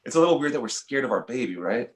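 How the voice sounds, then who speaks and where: Man playing a quick-talking wary dad, roomy sound. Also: medium pitch, expressive, natural voice. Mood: nervous, casual. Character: wary, low-key young dad of talking baby. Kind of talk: comedy table read of an animated pilot.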